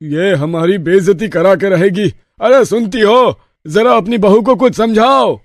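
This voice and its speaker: A man's voice: high voice, a little slow, gravelly, a little hiss or hum. A man playing an elderly village father-in-law in a family story, exclaiming and grumbling. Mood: exasperated.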